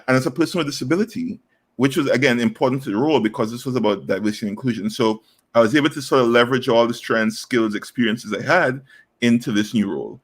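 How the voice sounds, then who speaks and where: A man in his thirties from Trinidad and Tobago, answering interview questions earnestly with frequent fillers and restarts. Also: medium pitch. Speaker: guest, inclusive recruitment specialist.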